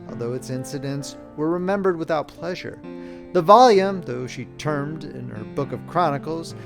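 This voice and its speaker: Male storybook narrator, slightly high register, theatrical literary delivery, plucked guitar underneath. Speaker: audiobook narrator.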